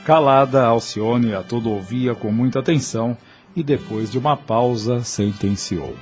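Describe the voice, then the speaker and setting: A man's voice: medium pitch, moderate pace. A man narrating a scripted drama in a solemn, literary storytelling manner. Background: music.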